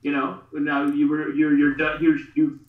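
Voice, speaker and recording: A man's voice: a little high, monotone, moderate pace. A man in his thirties from Pakistan, talking earnestly with many fillers as a guest on a video call. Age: 30s. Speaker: guest, artist promoting a campaign. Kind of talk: casual video call interview. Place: home studio room. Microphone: webcam on a video call. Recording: roomy, dull video call audio.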